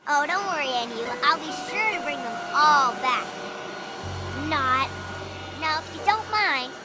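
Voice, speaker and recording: An actor voicing a smug, sarcastic, scheming boy villain in a children's animated game. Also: high voice, measured pace. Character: bratty boastful boy villain, scheming and sneering. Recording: loud music behind the voice. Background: loud music.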